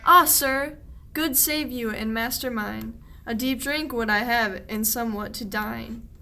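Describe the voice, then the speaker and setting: A woman's voice: a little high, measured pace, creaky, bright, forceful tone, a little hiss or hum, full character voice. A woman playing a young, put-upon shepherd servant boy in a radio play, declaiming verse earnestly. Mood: pleading.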